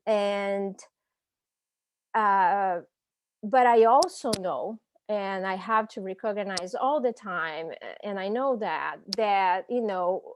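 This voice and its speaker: Slow, reflective Brazilian woman. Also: medium pitch, slight background noise. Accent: Brazilian Portuguese. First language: Portuguese. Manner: reflective, searching for words. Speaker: panelist and language teacher. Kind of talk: personal reflection on a panel. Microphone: video call.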